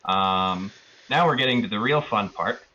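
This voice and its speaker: Bright, low-voiced man recapping a game. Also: animated, moderate pace, dull audio, a little hiss or hum. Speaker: player recapping a tabletop roleplaying session. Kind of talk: casual recap of a tabletop game.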